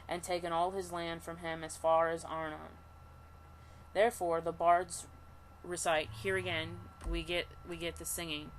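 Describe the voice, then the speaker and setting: A woman with a self-described monotone voice, leading an online scripture study, reading passages aloud and talking them through with halting restarts. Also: on the low side, slight background noise, low-quality recording. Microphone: computer mic for an online session.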